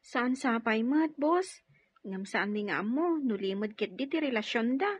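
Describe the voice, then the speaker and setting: High, slow-talking Ilocano underling played by an actress. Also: female voice, lively intonation, breathy edge. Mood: deferential. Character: deferential gang underling reporting to the boss. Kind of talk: Ilocano radio-style drama acting. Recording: dull lo-fi track, faint music.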